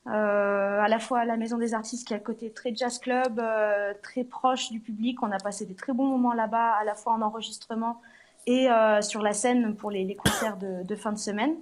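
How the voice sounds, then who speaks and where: Woman singer, guest interview at a venue; slightly high, hesitant, many fillers. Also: fairly even intonation, normal speed. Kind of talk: live interview on location. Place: arts centre venue.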